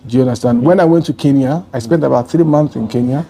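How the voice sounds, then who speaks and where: A man's voice: medium pitch, quick pace, vocal fry, mellow, slight background noise. A man from Nigeria telling his travel story as an interview guest, earnest and rambling with many restarts. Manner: meandering, restarts often, earnest. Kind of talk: casual interview conversation.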